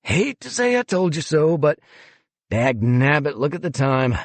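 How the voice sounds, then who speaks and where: A man's voice: a little high, sing-song, unhurried, no echo, pitched above the performer's own voice, exaggerated voice. A man voicing a smug, pushy male museum executive who talks in a breezy, condescending way.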